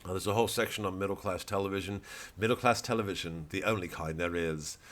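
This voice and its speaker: A man's voice: low-pitched, steady tone, quick pace, a bit bright. Rambling, sardonic middle-aged man. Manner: hesitant, sardonic and profane, full of filler and restarts. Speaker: comedian. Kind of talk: rambling promotional monologue.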